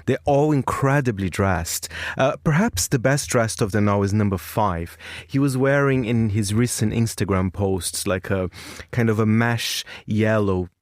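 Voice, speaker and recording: A man's voice: lowish voice, measured pace, no echo, studio quality. A man working as a senior correspondent, presenting a music chart segment on the radio in a chatty, enthusiastic way. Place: radio studio.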